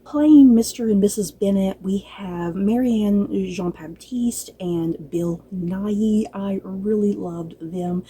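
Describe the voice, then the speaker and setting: A woman's voice: medium pitch, slow pace, hardly any pauses, mellow, a little hiss or hum, poor audio. A woman giving a casual, chatty review, speaking in a gushing, emphatic and informal way. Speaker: book and drama reviewer.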